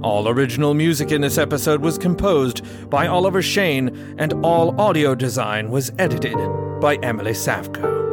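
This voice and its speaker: A man reading scripted end credits as an announcer. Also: mid-range voice. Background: loud guitar music.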